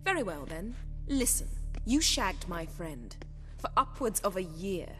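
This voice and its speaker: Imperious, sharp-tongued sorceress, music behind. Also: female voice, on the low side, very animated, unhurried, heavily acted. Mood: cold anger. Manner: haughty, formal, acerbic. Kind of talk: video game cutscene acting.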